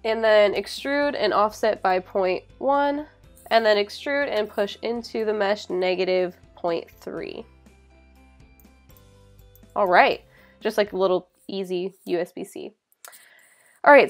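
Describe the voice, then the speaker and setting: Casual young woman over background music. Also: medium pitch. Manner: informal, hedging with frequent "like". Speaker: tutorial presenter. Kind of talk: casual software tutorial narration.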